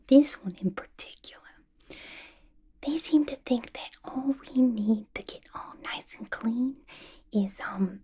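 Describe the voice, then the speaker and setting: Casting: woman acting as a mischievous young villainess inmate for ASMR roleplay, breathy whisper, soft mellow tone, gossipy and manic. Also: a little high. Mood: gossipy, conspiratorial. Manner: playful, slangy, conspiratorial gossiping. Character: manic, chatty, mischievous young female villain locked in an asylum.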